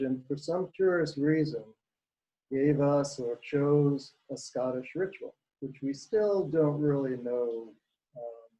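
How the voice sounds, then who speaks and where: A man's voice: mid-range voice, mellow, low-quality recording. A man from Costa Rica speaking up at an online lecture, earnest and groping for words as he asks his question. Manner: earnest, searching for words, drawn out. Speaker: audience member asking a question. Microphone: video call.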